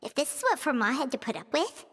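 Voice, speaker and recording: Squeaky little sidekick girl, blunt, very high voice, roomy game audio, faint music. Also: very animated, quick pace, a bit bright, a little hiss or hum. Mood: indignant. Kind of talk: video game voice acting.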